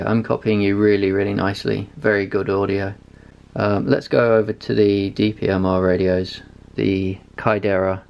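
A man's voice: fairly low pitch, vocal fry, darkish tone. A man giving a casual hands-on radio demo, narrating as an amateur radio reviewer.

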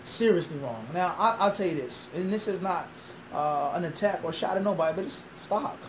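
Guy in a classroom preaching hard, quick talker, throws questions back, faint room hiss. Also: high-pitched, expressive, low-quality recording. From Philadelphia. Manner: emphatic, rhetorical questions, gestures a lot. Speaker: interviewee, Islamic teacher. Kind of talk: animated interview answer. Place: small classroom indoors.

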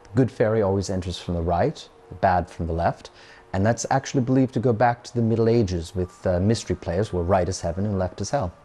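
A man in his thirties, a gallery founder, explaining things conversationally and a little haltingly in an interview. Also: deep voice, mellow, slightly rough. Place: dark indoor exhibition room.

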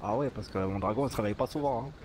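Man playing a naive villager over clear engine noise. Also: lowish voice, rapid-fire, darkish tone, natural voice. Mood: naive, earnest. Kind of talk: improvised online roleplay.

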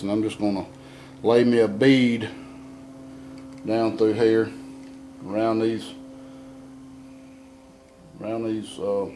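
A man talks through an engine rebuild in a garage workshop, plain-spoken and unhurried, thinking aloud as he works. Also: fairly low pitch, darkish tone, a little hiss or hum, low-quality recording. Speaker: home mechanic demonstrating an engine rebuild. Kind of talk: casual hands-on how-to. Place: barn or garage workshop, indoors.

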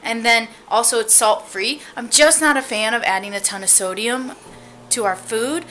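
Woman, 30s, upbeat vlog chat, fairly high voice, arm's-length camera, faint background hiss. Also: normal speed, sharp tone. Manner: chatty, upbeat, talks straight to viewers. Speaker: family vlogger. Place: car, then a home kitchen and living room. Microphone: handheld camera at arm's length.

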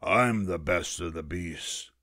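Man as a big storybook bear, gruff, growly, scornful, sneering, unhurried. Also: deep voice, bright, forceful tone, dry recording, clean studio sound, voice pushed down, exaggerated voice. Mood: haughty. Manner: sneering, slow-spoken retorts.

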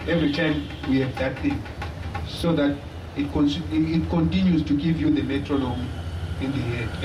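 A young man with a Zimbabwean accent, teaching an audience about drumming in a live lecture, talking plainly with many pauses. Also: on the high side. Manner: unhurried, pausing often, friendly and plain. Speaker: drummer giving a lecture-demonstration. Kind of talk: halting live teaching talk. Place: small indoor stage hall. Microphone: stand mic, recorded from a far camera. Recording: echoey hall, voice from far off, audible noise.

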